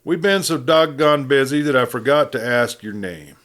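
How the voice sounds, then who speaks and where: Man voicing a gruff, growling Old West sheriff. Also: medium pitch, expressive, fairly bright tone, voice pushed up, natural voice. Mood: easing, companionable. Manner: dry, blunt, drawn-out cowboy talk.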